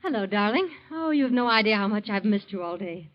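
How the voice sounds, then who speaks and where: An actress in a 1940s radio drama playing a demanding wife, quick to bristle, dramatic and pointed, on a muffled vintage recording. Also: female voice, medium pitch, expressive, natural voice. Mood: doting.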